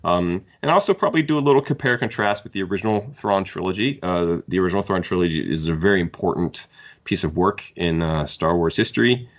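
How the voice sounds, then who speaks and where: A man hosting a podcast, casually discussing books in a halting, opinionated fan's way. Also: low-pitched. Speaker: podcast host. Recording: dull lo-fi podcast audio.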